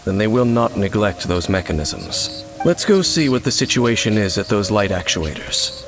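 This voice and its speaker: A man's voice: fairly low pitch, lively intonation, lightly acted. A man voicing a grave wandering swordsman. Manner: measured, solemn, formal phrasing. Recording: game music behind, with rain sounds.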